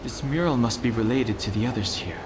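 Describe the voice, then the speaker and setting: An actor voicing a solemn, thoughtful young man in a video game, measured and reflective, like a scholarly guide, over music. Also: mid-range voice, noisy background, close to an everyday voice. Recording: loud game music behind.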